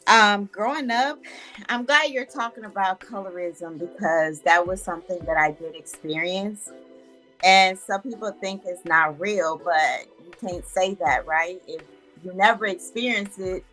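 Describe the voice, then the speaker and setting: A young adult woman from Honduras, a guest speaking slowly and candidly about her own life over a video call. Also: medium pitch, sharp tone, faint music behind, low-quality recording. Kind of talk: casual personal storytelling.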